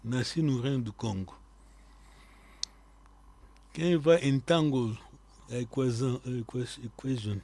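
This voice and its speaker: A man teaching a live online language lesson, quizzing his students and repeating key words slowly so they stick. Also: mid-range voice, dark tone.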